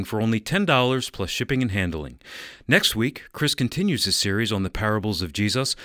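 A man's voice: medium pitch, bright, forceful tone, no room sound, clean studio sound. A man reading a scripted radio program outro as its announcer. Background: music bed.

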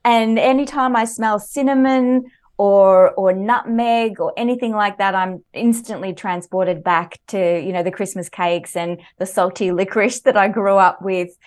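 A woman's voice: mid-range voice, fairly even intonation, a little slow, darkish tone. A woman with an Australian accent, a guest author on a podcast, telling personal stories animatedly and laughing easily. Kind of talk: conversational interview.

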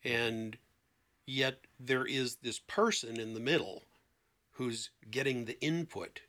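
A man's voice: mid-range voice, animated, slow pace, a bit bright. A man talking as a therapist guest in a conversation, explaining things emphatically with illustrative examples.

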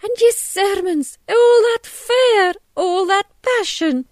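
A woman's voice: high-pitched, very animated, normal speed, mellow, no echo, studio quality, voice pushed up. A woman voicing a prim, indignant young country wife in an audiobook story, speaking emphatically. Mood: rising outrage.

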